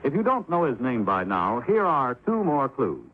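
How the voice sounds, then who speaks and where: A man's voice: mid-range voice, normal speed. A male announcer reading a scripted quiz segment on a 1950s radio show. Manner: animated, clear, quizzing. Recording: tinny old radio broadcast.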